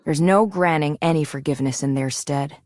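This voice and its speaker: A woman voicing a mature, resolute and idealistic swordswoman in a video game, composed and earnest in her measured delivery. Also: sits low, a little mellow, dry recording, studio quality, lightly acted. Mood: solemn, reflective. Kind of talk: scripted video game character lines.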